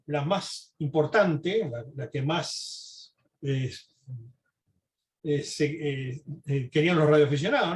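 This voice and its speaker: Man, high voice, informal history lecturer, reads notes with asides, explanatory, rhetorical questions.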